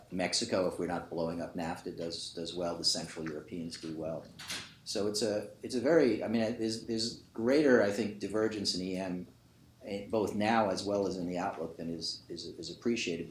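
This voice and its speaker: Very deep-voiced man in echoey room. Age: 50s or 60s. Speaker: economist on a panel. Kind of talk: unscripted panel discussion remarks. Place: conference panel before an audience. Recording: echoey room with faint noise.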